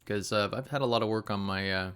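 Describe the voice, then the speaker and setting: A man's voice: fairly low pitch, quick pace, clean tone. Sleepy, nasal man streaming a game. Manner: relaxed, rambling, many fillers. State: sleepy, just woken up. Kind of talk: casual live-stream gameplay commentary.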